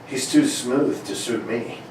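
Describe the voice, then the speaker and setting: A man playing a gruff, bossy older police inspector in a 1940s-style radio play, blustering and clipped. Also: medium pitch, unhurried, darkish tone, natural voice. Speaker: amateur radio play performer. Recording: distant mic, very echoey room, faint noise.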